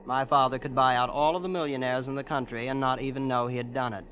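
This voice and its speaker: An actor playing a smug young heir who boasts of his family's wealth in a calm, matter-of-fact way, in 1940s radio acting. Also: medium pitch, fast, a little hiss or hum, natural voice. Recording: dull old radio recording.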